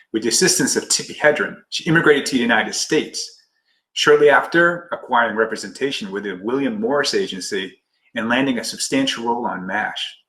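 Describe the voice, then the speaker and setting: A man's voice: mid-range voice, a bit bright. Earnest male podcast host in a roomy space. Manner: earnest, formal reading of the intro. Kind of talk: scripted guest introduction and interview questions. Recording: roomy echo.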